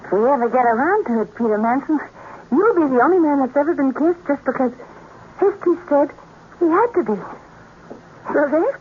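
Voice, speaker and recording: High-voiced plaintive young sweetheart. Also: female voice, lively intonation, brisk, pauses often. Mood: coy, pert. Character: plaintive, scheming young woman, reluctant sweetheart. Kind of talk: 1950s radio drama acting. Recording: tinny, noisy vintage radio recording.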